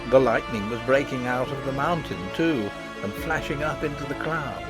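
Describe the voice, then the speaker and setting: A man narrating an audiobook in an expressive, dramatic storytelling style. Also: mid-range voice, normal speed. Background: background music.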